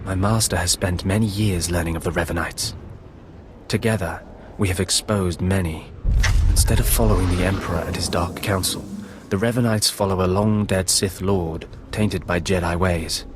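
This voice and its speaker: Game villain's apprentice, male actor, very low, formal and persuasive, serving a shadowy master, faint noise behind. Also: fairly even intonation, normal speed, natural voice. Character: scheming Sith apprentice, deferential servant of shadowy master. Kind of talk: video game cutscene acting.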